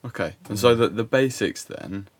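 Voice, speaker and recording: A man's voice: on the low side, expressive, normal speed. A man interviewing a guest in a casual chat, prompting him with curious questions.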